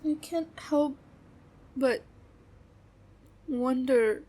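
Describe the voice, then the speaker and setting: A young woman, weary and hurt, pours out her heart to her closest friend, as a woman acts her out. Her voice sits a little high, and her talk is slow and halting, broken by long pauses. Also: warm tone, full character voice. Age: young adult. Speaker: best friend venting. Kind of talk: audio roleplay acting.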